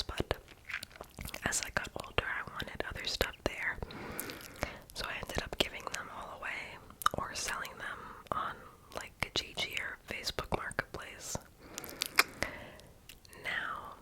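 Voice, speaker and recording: A young woman whispering a casual, rambling ASMR voiceover in a breathy, unvoiced voice, with soft mouth sounds. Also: poor audio. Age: young adult. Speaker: ASMR video creator and host.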